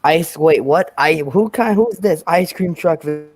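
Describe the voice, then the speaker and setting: A man's voice: on the high side, measured pace. A man hosting a casual reaction chat, joking and teasing his guest, often restarting his sentences. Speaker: host of the reaction video.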